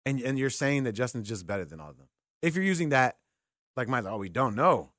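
A man's voice: mid-range voice, big pitch swings, a little fast, fairly bright tone, no echo, clean studio sound. A man hosting a sports call-in radio show, thinking aloud in a conversational way and asking rhetorical questions. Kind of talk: sports call-in talk, opinionated commentary. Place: radio studio. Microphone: close studio microphone.

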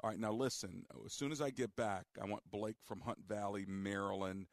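A male radio host with a somewhat low voice talks calmly and deliberately, steering the conversation with patient guidance. The sound is close and dry, as from a studio microphone. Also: no echo. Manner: calm, deliberate, guiding. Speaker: radio talk show host. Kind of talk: call-in advice radio.

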